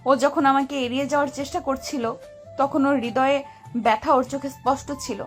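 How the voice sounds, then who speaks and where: A woman's voice: high voice, fairly even intonation, fairly quick, natural voice. A woman acting a heartbroken but determined young rich boss in an emotional romantic audio story. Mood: hurt, resolving. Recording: music behind the voice.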